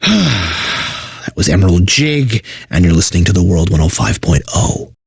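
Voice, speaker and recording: A man's voice: low-pitched, sing-song, unhurried, gravelly, husky, no echo, studio quality, full character voice. A man playing a bitter, burnt-out corporate radio DJ in a video game, mixing announcer patter with sarcastic, exasperated asides. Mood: forced radio cheer. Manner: exaggerated announcer delivery with sardonic, exasperated asides.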